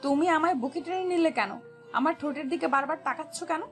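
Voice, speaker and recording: A woman's voice: sits high, fast, faint music behind, close to an everyday voice. A woman playing a flustered, pouty young fiancée who scolds and protests coyly in a romantic audio story. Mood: annoyed, protesting.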